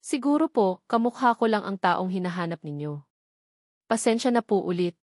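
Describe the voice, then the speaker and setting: A woman with a Filipino accent voicing a guarded, weary mother who speaks calmly, firmly and with cold politeness in an audiobook. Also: medium pitch, normal speed, dry recording, clean studio sound, close to an everyday voice. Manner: calm but firm, coldly polite. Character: guarded, weary young mother denying her past.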